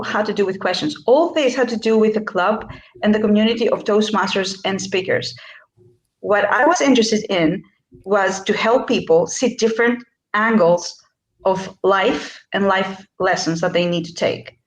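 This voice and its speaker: A woman from Greece talks about her workshop on a video call, searching for words and restarting her sentences. Her voice sits at a middle pitch. The room around her is noticeably echoey. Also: moderate pace. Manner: hesitant, many fillers and restarts. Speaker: workshop speaker. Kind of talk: online interview.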